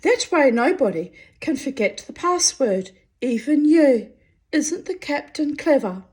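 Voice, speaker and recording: Woman doing a high, shaky, earnest sentry. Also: little pitch movement, slow, darkish tone. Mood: prim. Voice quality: high, trembling. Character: thin-voiced nervous male sentry.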